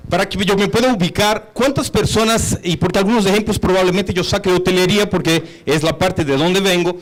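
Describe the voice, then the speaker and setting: High-pitched middle-aged man, Brazilian Portuguese accent. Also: brisk, poor audio. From São Paulo, Brazil. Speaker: hotel executive, panel speaker. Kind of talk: informal conference talk with personal anecdotes.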